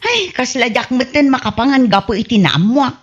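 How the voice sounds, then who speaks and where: Lady playing a nosy mouthy village wife, high and piercing, whiny, comedy radio drama, muffled lo-fi sound. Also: expressive, moderate pace, faint music behind, lightly acted. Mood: gossipy, teasing. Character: gossip-loving, defiant village wife Magda. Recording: dull, muffled lo-fi audio.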